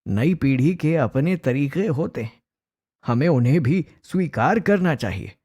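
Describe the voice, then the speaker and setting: A man voices an older family patriarch in a cartoon. He is firm and fair, admonishing slowly in a mellow voice. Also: fairly high pitch, animated, slightly rough. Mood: reasoning. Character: firm, fair-minded elderly father-in-law, family patriarch. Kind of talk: Hindi animated family drama acting.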